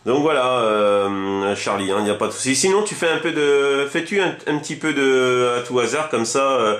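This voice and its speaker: A man's voice: mid-range voice, normal speed, a bit bright, echoey room. A man chatting casually as a radio hobbyist, rambling and enthusiastic, often repeating himself. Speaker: amateur CB radio operator.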